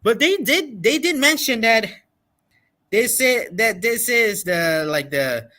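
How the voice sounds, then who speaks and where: A man hosting a casual livestream reaction, talking in an excitable, repetitive and emphatic way. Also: very high voice, takes it slowly. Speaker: livestream host reacting to a game event.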